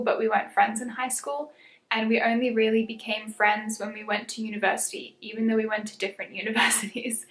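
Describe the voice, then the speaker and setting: A woman's voice: a little high, fairly bright tone. Twenties girl from south africa rambling on her vlog, lots of ums and likes, echoey room. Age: 20s. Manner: chatty, laughs often, many "um"s and "like"s. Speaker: YouTuber. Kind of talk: casual get-to-know-me chat.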